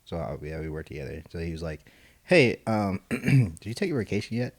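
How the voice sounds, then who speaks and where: A man's voice: low-pitched, very animated, a little fast. A young man co-hosting a podcast, chatting casually and rambling with lots of fillers and restarts. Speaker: podcast co-host, concrete estimator. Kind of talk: casual chat.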